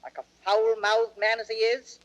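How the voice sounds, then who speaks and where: A woman's voice: on the high side, level tone, normal speed, natural voice. A woman playing an indignant, garrulous tavern hostess in old radio Shakespeare style, flustered and protesting. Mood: complaining. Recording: muffled vintage audio drama recording.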